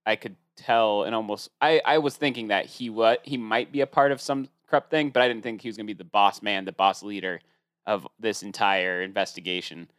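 A man's voice: on the low side, fairly even intonation, brisk, a bit bright, smooth, dry recording, studio quality. A man co-hosting a casual podcast, rambling through his opinions with many restarts. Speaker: podcast co-host. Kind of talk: casual chat.

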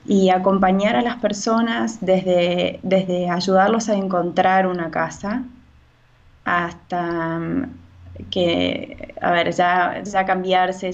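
A woman's voice: on the low side, lo-fi sound. A woman from Chile, a real estate agent giving hesitant interview answers full of fillers and restarts.

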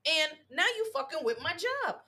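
Animated high-voiced blunt woman. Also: normal speed. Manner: blunt, animated, acts out scenes with cursing. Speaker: podcast co-host; work supervisor. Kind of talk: casual chat.

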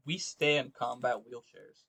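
A man's voice: mid-range voice, slow, gravelly, sharp tone, husky. A man hosting a casual podcast, talking in a joking, irreverent, slangy way. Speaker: podcast host. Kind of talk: casual podcast chat and commentary.

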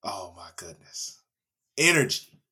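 A hoarse man with a raspy voice, co-hosting a podcast and telling stories in casual chat. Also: slow. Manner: animated storytelling, laughing, mimicking with big pitch jumps. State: hoarse after a concert. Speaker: podcast co-host.

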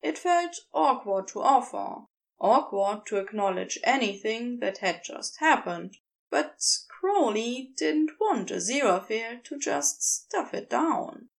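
Woman audiobook narrator, slow delivery, lively swooping intonation, scripted storytelling. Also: on the high side, vocal fry. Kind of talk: expressive scripted fiction narration.

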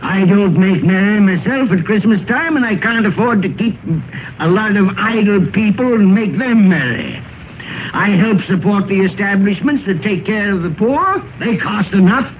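Cantankerous old miser, thin vintage radio sound. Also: male voice, sits high, lively intonation, normal speed. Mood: cold, scornful. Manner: curt, grumbling, snapping. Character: miserly, cantankerous old male money-lender, cold and scornful. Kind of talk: old-time radio drama acting. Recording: noisy, narrow-band vintage radio recording.

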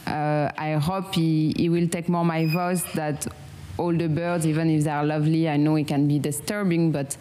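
A woman with a French accent, hosting a relaxed meditation chat and often pausing to search for words. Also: sits low, slight background noise. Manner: halting, searching for English words, frequent fillers. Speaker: meditation channel host. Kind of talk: casual guided meditation chat.

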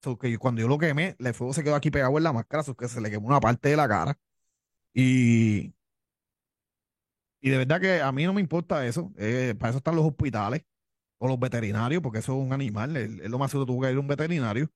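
A man's voice: medium pitch, fast, no echo, studio quality. A man with a Cuban accent, a wrestler telling his story in an interview, boastful and tough, often repeating himself. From Cuba. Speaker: pro wrestler guest.

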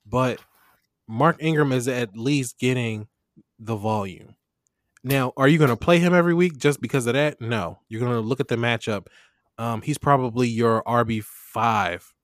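A man's voice: mid-range voice, dry recording, studio quality. A young man hosting a casual sports podcast, talking in a laid-back slangy way and laughing often. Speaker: podcast host. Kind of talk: casual fantasy football chat.

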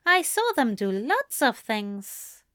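Lady doing a fussy male angel from a story, high n slow, prissy, snippy, gets flustered easy. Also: big pitch swings, bright, forceful tone, dry recording, clean studio sound, heavily acted. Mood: prim, evasive. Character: prim, flustered, easily scandalised male angel.

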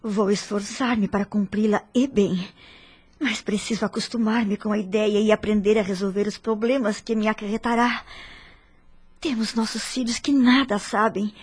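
An actress as a husky, wounded wife. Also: female voice, medium pitch, steady tone, moderate pace, close to an everyday voice. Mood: earnest, worried. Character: hurt, dignified middle-aged wife and mother, earnest. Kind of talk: radio soap opera acting.

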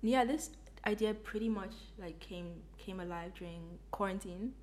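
A young woman from Ghana co-hosting a podcast, chatting in a relaxed way and laughing often. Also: medium pitch, moderate pace. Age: young adult. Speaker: co-host. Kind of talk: casual chat.

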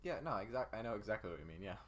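A man's voice: on the low side, expressive, very fast, fairly bright tone. A young man chatting casually as a podcast co-host, with a playful, teasing way of talking. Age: young adult. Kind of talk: casual banter.

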